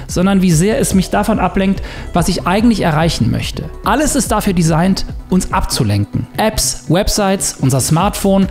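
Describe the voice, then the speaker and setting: A man narrating his own video in a scripted voice-over, reflective and lively, with a sponsor read. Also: fairly high pitch, creaky. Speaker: YouTuber narrating a self-experiment.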